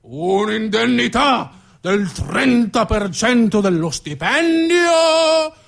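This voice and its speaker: A man playing a pompous factory director giving a declamatory, shouted rally speech to workers in a theatre piece. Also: very high voice, big pitch swings, gravelly, full character voice. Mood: bombastic, exultant. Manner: declamatory, drawn-out emphatic phrases, shouting.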